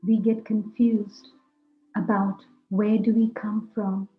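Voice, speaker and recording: A woman teaches guided meditation at a very slow pace, in short, measured phrases. Her voice carries a roomy echo, and music plays behind her. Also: mid-range voice, level tone, narrow, tinny audio.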